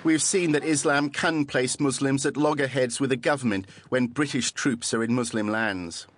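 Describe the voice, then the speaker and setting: A man narrating a documentary and putting probing questions to interviewees in a measured way. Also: medium pitch, dry recording.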